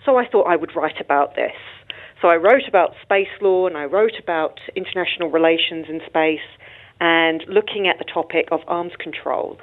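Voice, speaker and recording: A British woman talks through her career as a radio guest, easygoing and thorough. Her voice sits on the lower side, and it comes through a thin, phone-like line. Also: normal speed. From United Kingdom. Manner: chatty and modest, laughs easily, explains at length. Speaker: guest, international space lawyer. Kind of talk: radio interview answers. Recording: tinny phone-line sound.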